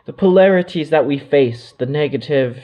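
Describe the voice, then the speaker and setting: A man giving a channeled spiritual monologue, speaking as otherworldly beings in a drifting, mystical way, with pauses and soft trailing asides. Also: a little high, muffled recording.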